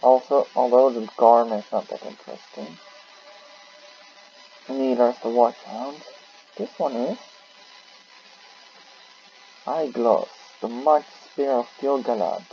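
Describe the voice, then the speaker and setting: A man giving casual, halting gameplay commentary, muttering to himself, on a muffled mic with faint noise. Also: medium pitch. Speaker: gaming video host.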